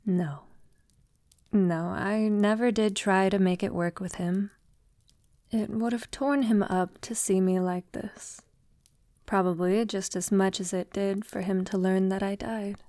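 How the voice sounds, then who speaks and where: A woman playing a centuries-old vampire lover in an intimate audio roleplay, tender, wistful and confiding, softly spoken with lapses into whispering. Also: mid-range voice, steady tone, no echo, clean studio sound, close to an everyday voice. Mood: sorrowful. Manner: soft, unhurried, breathy lapses into whispering.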